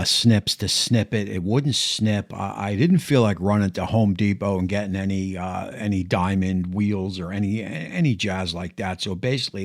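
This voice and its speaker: Chatty low-voiced man hosting a vlog. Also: gravelly, no echo, clean studio sound. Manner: chatty, many fillers and restarts. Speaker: BBQ channel host. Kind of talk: casual how-to vlog. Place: outdoors at a backyard smoker.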